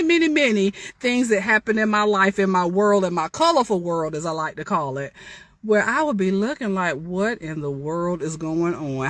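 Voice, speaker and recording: Bright-voiced woman sharing inspirational storytime. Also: medium pitch. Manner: testifying, preacher-like, addressing listeners directly as honey and dear. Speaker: author reading from own memoir. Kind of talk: inspirational storytime talk, reading aloud with chatty asides.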